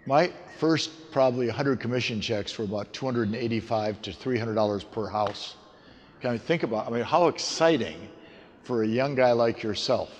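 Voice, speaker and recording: A male seminar host interviews someone live on stage. He has a medium-pitched voice and an encouraging way of repeating answers back. The big hall rings around him, with clear noise behind. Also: moderate pace. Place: large event hall with audience.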